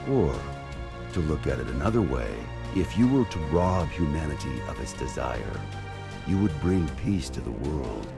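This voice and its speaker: An actor voicing a grave, brooding narrator who muses slowly and deliberately in a dramatic anime game over loud music. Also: male voice, extremely low pitch, sing-song, creaky, exaggerated voice. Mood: grave, musing. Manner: slow, deliberate, musing.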